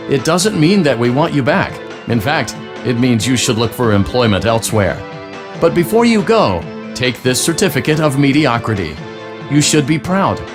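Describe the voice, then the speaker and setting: A male actor plays a snide corporate spokesman in a video game. He has very lively, swooping intonation and a fake congratulatory, condescending salesman tone. Clear music plays underneath him. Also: mid-range voice, lightly acted. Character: falsely cheery, snide male corporate tutorial announcer. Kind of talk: scripted narration.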